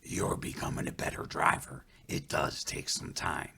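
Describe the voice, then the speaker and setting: A man voicing a calm, stern male forest guide spirit in a storytelling piece, speaking slowly and solemnly in a hushed, whispery voice. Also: slight background noise, lightly acted. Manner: slow, solemn, measured.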